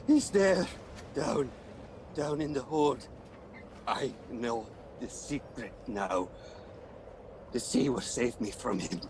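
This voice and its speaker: A man plays a superstitious sailor in a radio drama, haunted and close to madness. His speech is slow, halting and full of dread, with faint noise behind it. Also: medium pitch. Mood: terrified, broken. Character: haunted, superstitious male ship's mate sliding into madness. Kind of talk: radio play acting.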